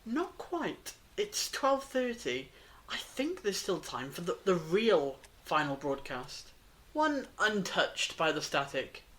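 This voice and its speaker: A performer playing a wise, gently wry time traveller in an audio drama, speaking in a measured, reflective way. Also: mid-range voice, very animated, full character voice. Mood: quiet, reflective. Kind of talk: fan-made audio drama acting.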